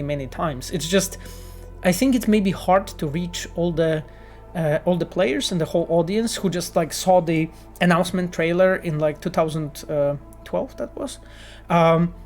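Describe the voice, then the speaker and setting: A man who is a game developer, answering chat questions on a casual livestream with earnest, halting explanations and many restarts. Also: sits high, darkish tone.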